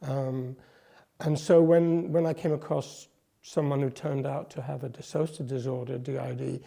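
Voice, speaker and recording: A man who is a psychotherapist and whose first language is French speaks reflectively in an interview, pausing often and restarting as he searches for words. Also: medium pitch, fairly even intonation, gravelly, mellow. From France, Netherlands, US, England; a mixed upbringing. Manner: thoughtful, searching for words, many ums and restarts.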